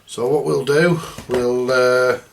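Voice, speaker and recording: A man giving casual, hands-on workshop commentary as host of a repair video, chatty and halting as he thinks aloud. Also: medium pitch, fairly even intonation, gravelly, slight background noise.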